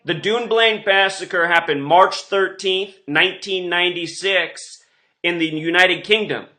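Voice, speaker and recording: A man's voice: high-pitched, unhurried, bright, forceful tone. A man hosting an online show, speaking in a rambling, insistent monologue with emphatic delivery. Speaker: online video host.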